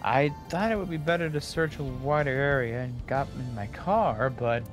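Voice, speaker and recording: A man's voice: mid-range voice, normal speed, music in the background, close to an everyday voice. A man playing an anxious, earnest young male detective in an amateur video game fandub. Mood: worried.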